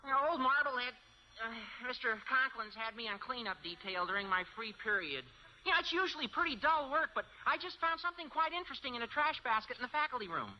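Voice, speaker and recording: An actor playing an earnest teenage schoolboy with a squeaky adolescent voice in a radio sitcom. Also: male voice, expressive, fast, slight background noise. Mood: eager, confiding. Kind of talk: 1950s American radio sitcom acting. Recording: dull old radio recording.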